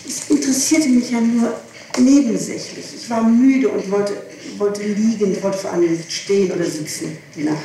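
A woman gives hesitant, evasive testimony, doubling back on her phrases. Her voice is soft-edged and mellow. It comes from a distance on an archival tape, in a very reverberant room with faint noise. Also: medium pitch, moderate pace. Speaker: defendant being questioned. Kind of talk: courtroom testimony. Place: large echoing room, distant mic. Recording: echoey, noisy archival tape from far off.

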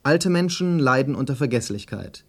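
A male presenter with a mid-range voice reads scripted remarks and interview questions. His delivery is formal and measured, as in a literary radio feature. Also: medium pitch, expressive, breathy edge.

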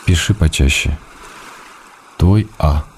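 An actor playing a weary, affectionate writer husband, reading his letters in a restrained, gentle way in a radio play. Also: very deep voice, takes it slowly, over loud music, heavily acted. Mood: weary, fond.

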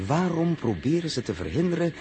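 A man's voice: fairly high pitch, very animated, a little fast. An actor playing a reasonable, easygoing crewman in a 1960s radio drama, with a colloquial, reflective way of talking. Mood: thoughtful. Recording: noisy early-1960s radio recording.

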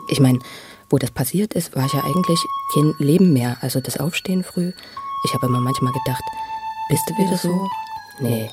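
A man giving halting personal testimony in conversation, repeating words as he searches for how to say things. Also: on the high side, over loud music. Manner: stammering word repetitions, searching for words. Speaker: interviewee recounting his own experience.

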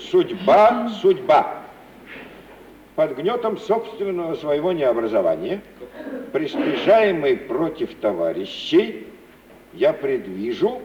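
An actor playing a florid, obliging gentleman given to lofty sentiment, declaiming grandly in the style of 1950s Russian radio theatre. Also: mid-range voice. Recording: dull old recording, distant, hissy.

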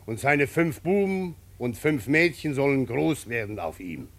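An actor playing an old, plain-spoken peasant father in a radio play, with weighty, halting, declamatory phrasing. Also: medium pitch, animated, fairly bright tone. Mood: solemn, decreeing. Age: older.

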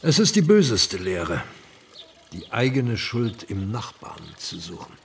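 An actor playing a frail, pious old Turkish gentleman in a radio drama, slow, courtly and philosophical. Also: fairly low pitch, sing-song, creaky, recorded from a distance, a little hiss or hum, heavily acted. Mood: grave. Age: older.

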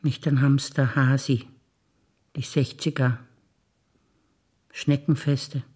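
An older woman, an actress reciting memories in a quiet, measured way on stage. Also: extremely low pitch, flat delivery, slightly rough.

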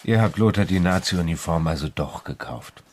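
A man's voice: sits low, normal speed, darkish tone, slight background noise, lightly acted. An actor playing a serious, defiant young man in a German radio play. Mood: evasive, restrained. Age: young adult.

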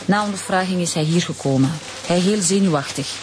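A woman's voice: on the low side, background noise, close to an everyday voice. An anxious middle-aged shopkeeper played by a woman in a radio drama. She picks through broken sentences apologetically, over faint ambience. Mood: anxious, apologetic. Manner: halting broken grammar, apologetic. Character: anxious, deferential immigrant shopkeeper mother of a grown son.

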